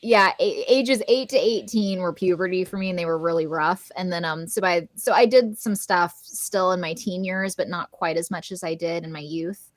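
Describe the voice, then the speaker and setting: A woman in her thirties from Southern California talks in a loose, chatty way, peppering her sentences with "like" and quick laughs, with a neutral American accent. Her voice sits a little low for a woman. The sound is dry and close, with no room in it. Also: no room sound. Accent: General American. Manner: chatty, many "like" fillers, laughs often. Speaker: podcast guest, voice actor and coach. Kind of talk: casual interview chat.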